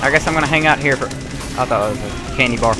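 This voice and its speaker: A young man giving rambling, chatty commentary while playing a game, his voice getting hoarse. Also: medium pitch, very fast. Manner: rambling, self-correcting, chatty. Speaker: gaming video host. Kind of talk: casual gameplay commentary. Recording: loud game music behind. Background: game sounds and gunfire.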